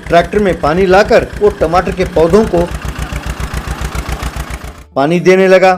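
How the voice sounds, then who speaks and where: A man's voice: high-pitched, a little slow, background noise. A man reading scripted narration for a comedy story as its narrator.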